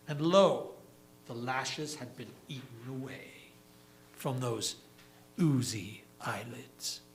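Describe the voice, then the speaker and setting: A solemn dead newspaperman, acted by a man telling a grim vision as theatrical verse. The voice is mid-pitched and very slow, with deliberate phrasing over a faint humming noise. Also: fairly bright tone, low-quality recording. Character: dead, defiant male newspaper publisher recounting a grim vision. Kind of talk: theatrical reading of verse monologue.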